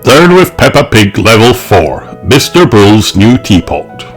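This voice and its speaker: Male children's audiobook narrator: unhurried, deliberate, hugely varied intonation, light music underneath. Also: fairly low pitch. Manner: expressive, deliberate. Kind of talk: children's story read-aloud.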